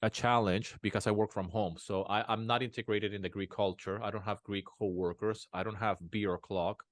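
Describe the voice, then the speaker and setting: A man's voice: fairly low pitch, fairly even intonation, brisk, dry recording. A man as an interview guest, talking casually at home with many restarts and fillers. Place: home in a small town.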